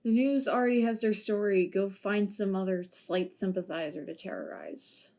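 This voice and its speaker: A woman's voice: medium pitch, normal speed, mellow, close to an everyday voice. A woman voicing a retired policewoman in an amateur audio drama, dry and sardonic. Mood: guarded.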